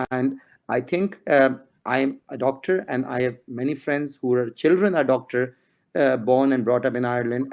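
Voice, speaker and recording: A man's voice: mid-range voice, darkish tone. A middle-aged man talks as a panel guest in an ordinary mid-range voice. He rambles, hesitates and restarts his phrases often. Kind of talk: live community discussion.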